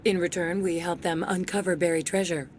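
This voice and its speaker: An actress playing a jaded, resentful security officer in a video game, terse and sardonic. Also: female voice, fairly low pitch, flat delivery, fairly quick, a little mellow, slight background noise, natural voice. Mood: guarded, jaded.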